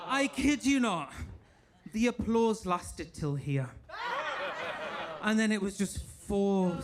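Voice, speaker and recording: A man from England with a northern English accent doing a stand-up set in a comedy club, mock-posh and deadpan with sudden high squeals. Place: comedy club stage with a laughing audience. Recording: live room with crowd noise. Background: audience laughter and applause.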